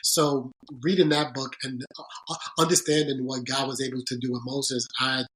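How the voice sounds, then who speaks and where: A man's voice: medium pitch, flat delivery, a bit bright, low-quality recording. A man being interviewed on a podcast about his career as a sports agent, speaking earnestly and thoughtfully, often restarting his sentences. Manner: earnest, halting, frequent restarts. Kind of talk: reflective interview storytelling.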